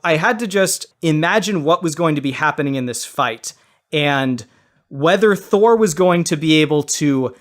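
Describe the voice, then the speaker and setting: Higher-voiced man judging a debate. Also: fairly bright tone. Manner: deliberate, long-winded explanations. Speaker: judge on a debate comedy podcast. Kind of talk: casual, explanatory verdict.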